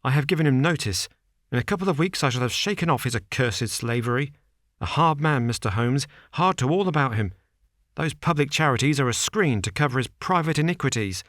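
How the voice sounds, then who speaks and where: A man puts on a jittery, frightened estate manager, pitched well above his usual low voice and pushed louder. He spits out short, breathless sentences as if he has no time. The recording is close and dry. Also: lively intonation, brisk, no echo, lightly acted. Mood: agitated. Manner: gasping short agitated sentences.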